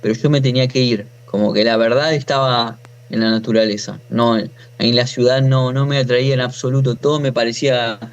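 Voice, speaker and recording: A man's voice: medium pitch, steady tone, fairly quick, few pauses, clear, resonant tone, dull audio. A man in his forties with a Buenos Aires accent, telling his own story informally as a guest, often breaking off and rephrasing. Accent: Argentine, from Buenos Aires. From Buenos Aires, Argentina. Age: 40s. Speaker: interview guest, natural farmer.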